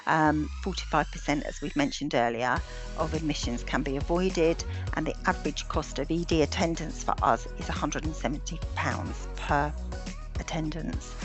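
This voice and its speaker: Slightly low-pitched British woman presenting slides. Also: music in the background. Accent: British English. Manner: measured, with frequent ums and restarts. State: losing her voice. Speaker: webinar presenter.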